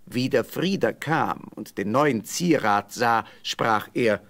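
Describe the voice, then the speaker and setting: A man's voice: mid-range voice, animated, slow, a bit bright. A man narrating a scripted fairy tale as a storyteller.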